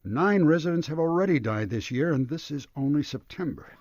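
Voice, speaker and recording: An actor playing an anxious, earnest and formal retirement home director in a radio drama. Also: male voice, fairly high pitch, lively intonation, a little fast, darkish tone, no room sound, close to an everyday voice. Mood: earnest, worried. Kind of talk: radio detective drama acting. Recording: dull lo-fi radio broadcast.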